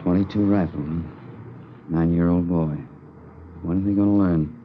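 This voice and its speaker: A man's voice: low voice, steady tone, moderate pace, lightly acted. An American man playing an indignant senior police chief in a radio drama, speaking bluntly and emphatically. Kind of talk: 1950s radio acting. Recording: dull, faintly noisy old radio recording.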